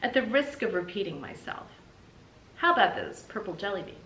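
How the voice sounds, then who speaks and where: A woman's voice: medium pitch, sing-song, a little fast, a bit bright, recorded from a distance. A woman voicing a huge, pompous male cat, haughty and formal, in a children's book read-aloud. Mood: smug. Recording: roomy, noticeable background hiss.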